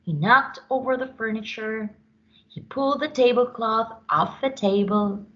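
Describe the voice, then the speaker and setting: A woman reading a children's story aloud with expressive, dramatic emphasis. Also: a little high, measured pace, lo-fi sound.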